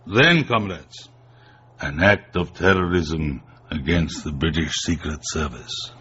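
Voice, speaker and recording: A man playing a stern Soviet intelligence general in a radio drama, formal, deliberate and authoritative. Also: a bass voice, animated, sharp tone, highs cut off, a little hiss or hum, exaggerated voice. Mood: commanding, probing.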